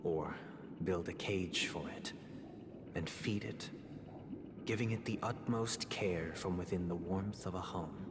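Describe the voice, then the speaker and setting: An actor playing a soft-spoken, courteous, brooding young mastermind in a video game, speaking in measured, formal, gently persuasive monologue. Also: male voice, deep voice, background noise. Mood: solemn, probing. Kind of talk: scripted video game dialogue, storytelling monologue. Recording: long echoing reverb, loud music behind. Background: game music.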